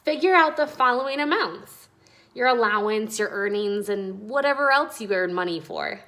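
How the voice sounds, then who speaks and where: A woman reading a children's story aloud in an expressive, deliberate, instructional way. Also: medium pitch. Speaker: read-aloud narrator.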